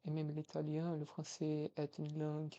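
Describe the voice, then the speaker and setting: Young man, Brazilian Portuguese accent, hesitant with fillers, flat delivery, dull lo-fi recording. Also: a little high. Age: young adult, 21. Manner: hesitant, halting, many fillers and restarts. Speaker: language-learning vlogger. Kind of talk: casual vlog, practicing foreign languages.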